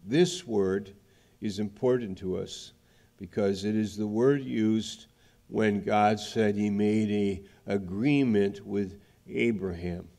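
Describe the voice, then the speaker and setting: A middle-aged man preaching from the pulpit of a large church. His voice sits a little low and he talks slowly and deliberately, backing up to rephrase as he explains. Recorded on a headset mic. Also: steady tone, gravelly, warm tone. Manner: explanatory, restarts mid-phrase, gestures broadly. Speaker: pastor. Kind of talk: sermon. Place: large church sanctuary, indoors.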